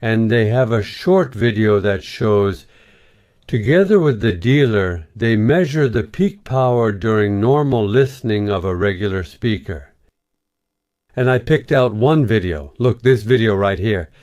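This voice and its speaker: A man's voice: lowish voice, expressive, a little slow, darkish tone, dry recording, muffled recording. A man working as an audio engineer, explaining hi-fi topics in a casual, methodical and slightly hesitant way.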